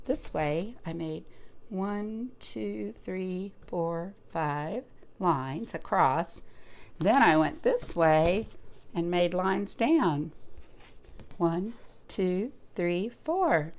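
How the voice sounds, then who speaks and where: A woman reading and chatting to young children as a storytime host, in an expressive sing-song way with lots of simple questions. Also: on the low side. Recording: noticeable background noise.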